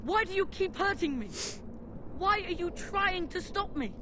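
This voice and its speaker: Very high, petulant demon child. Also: female voice, lively intonation, unhurried, background noise. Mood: petulant anger. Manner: shouted threats, imperious. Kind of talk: fantasy video game acting.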